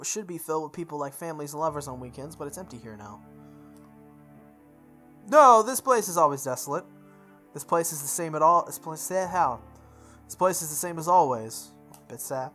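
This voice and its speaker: A mid-pitched gamer reads visual novel narration aloud. The delivery stumbles and repeats phrases, slipping into casual asides. Music plays clearly behind the voice. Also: expressive, moderate pace, a bit bright. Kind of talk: playthrough read-aloud with offhand asides.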